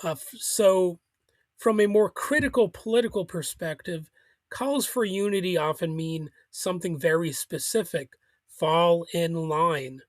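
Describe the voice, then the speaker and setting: A man's voice: on the high side, a little slow, dry recording. A man hosting a solo political commentary show, arguing critically, with chuckles and small restarts.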